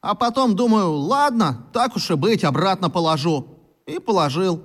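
A man's voice: sits high, lively intonation, normal speed. An actor playing a stubborn, offhand schoolboy in a children's radio play, his voice set in reverb. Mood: defiant, offhand. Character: stubborn defiant schoolboy, nonchalant, shielding a classmate. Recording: long reverb on the voice.

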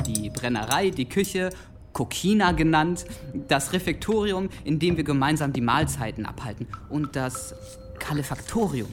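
A man's voice: medium pitch, natural voice. Earnest young monk played by a man, music behind. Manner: careful, explanatory, earnest. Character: eager, earnest young monk, healer's assistant. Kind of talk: radio drama dialogue.

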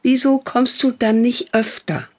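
A woman's voice: on the high side, steady tone, measured pace. An actress playing a strict, thrifty older widowed mother in a radio play, questioning her son sharply. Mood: probing.

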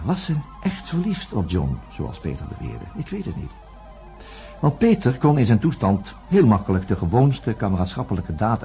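An earnest, troubled investigating doctor in a radio play. He speaks formally and deliberately with lively, wide-moving intonation, over some music. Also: lowish voice, highs cut off. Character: earnest, troubled male doctor investigating astronauts, indignant when challenged. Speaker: radio drama actor and narrator. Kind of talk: radio play acting with first-person narration.

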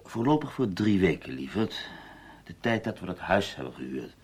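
Smug husband, husky and animated. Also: male voice, deep voice, moderate pace, heavily acted. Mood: dry, teasing. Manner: sarcastic endearments, condescending. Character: stubborn, smugly mocking husband, placating and determined. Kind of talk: Dutch radio play acting.

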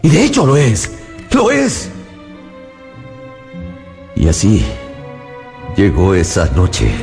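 Haunted mad scientist, radio drama, overwrought halting confession, swooping intonation, dramatic music behind. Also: male voice, rumbling low, full character voice. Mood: feverish, proud, then overwhelmed, brooding. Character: haunted, feverish male scientist confessing his monstrous creation. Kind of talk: Spanish-language radio drama acting.

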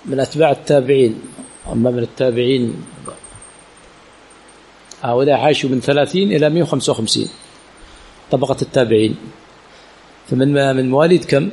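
A man's voice: medium pitch, fast talker, pauses often, darkish tone, husky, rough recording. A man teaching a lesson in a religious teaching center, often quizzing his students with rhetorical questions and repeating key words. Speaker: sheikh teaching hadith chain studies. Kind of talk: classroom lesson with Q&A.

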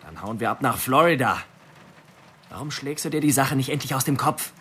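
An actor playing a cocky, smooth-talking young murderer in a radio drama, speaking in a brash, colloquial way. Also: male voice, mid-range voice, lively intonation, a little fast, a bit bright. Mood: cocky, reassuring.

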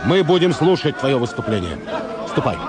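An actor playing a grave, idealistic anti-war protester in a radio drama, speaking in a measured, earnest way. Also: male voice, mid-range voice, sing-song, lightly acted. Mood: grave, resolute. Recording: noisy outdoor street crowd behind.

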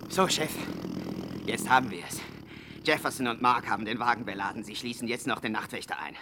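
An actor in a 1960s German radio play, playing a grumbling gangster underling with a raspy voice who reports to his boss. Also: mid-range voice, brisk, pushed and bright, slight background noise, close to an everyday voice. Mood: satisfied. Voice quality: raspy, gravelly.

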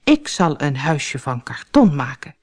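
Lady doing an upbeat old fairy tale wife, slow and super expressive, nothing bothers her. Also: low-pitched, soft background music, pitched below the performer's own voice. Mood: cheerful, reassuring. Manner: upbeat, unbothered. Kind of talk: storybook character voice.

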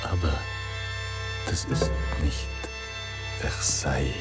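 An actor playing a mocking, dreamy, hard-drinking artist husband in a theatrical, musing German radio drama style. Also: male voice, very deep voice, steady tone, takes it slowly, over music. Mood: dazed, musing.

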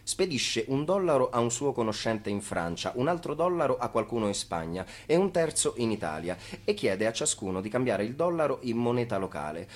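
A man giving theatrical scripted narration in a radio play, explaining didactically and putting rhetorical questions to listeners. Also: lowish voice.

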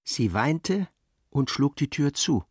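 A man's voice: medium pitch, very animated, slow pace, breathy edge, no echo, studio quality, full character voice. A man narrating a literary radio play in a precise, wry, dramatic storytelling manner.

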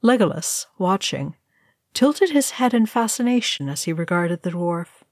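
A woman's voice: lowish voice, big pitch swings, unhurried, darkish tone, dry recording, studio quality. A woman narrating a scripted fantasy story as a storyteller. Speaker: reader of a fan fiction story.

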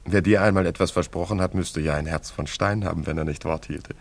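A man's voice: low voice, fairly even intonation, fairly quick, creaky, dry recording. An actor playing a carefree, teasing young wandering man in a German radio play, in an easygoing, bantering way. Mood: curious, friendly.

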